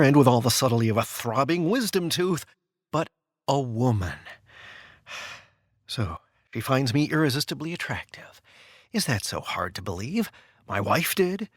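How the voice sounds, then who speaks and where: An actor playing a prim, anxious middle-aged aide in a comedic audio drama, stammering weary, deadpan protests. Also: male voice, medium pitch, husky, dry recording, studio quality, heavily acted. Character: nervous, prim, exasperated middle-aged male presidential aide.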